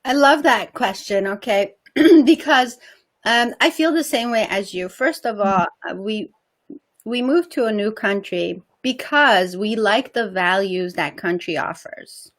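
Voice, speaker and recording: A woman guest in a casual interview, agreeable and giving frequent short affirmations. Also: mid-range voice. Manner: agreeable, quick to laugh, many short affirmations.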